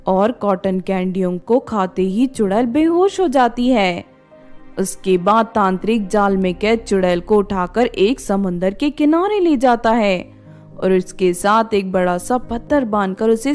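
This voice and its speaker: A woman's voice: on the high side, a little mellow. A woman reading a scripted children's story as its narrator.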